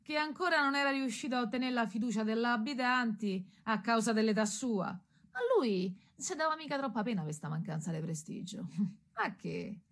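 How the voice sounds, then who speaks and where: A woman's voice: fairly high pitch, normal speed. A woman playing a mocking working-class townswoman narrator in a radio drama, with a Roman accent and colloquial theatrical delivery. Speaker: narrator in a radio stage serial. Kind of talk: theatrical storytelling in colloquial dialect.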